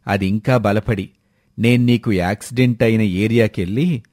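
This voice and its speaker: A man playing a steady, shrewd village headman with a measured, authoritative manner in a moral story. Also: on the low side, no echo, clean studio sound, close to an everyday voice. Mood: firm, accusing. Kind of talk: acted dialogue in a moral story.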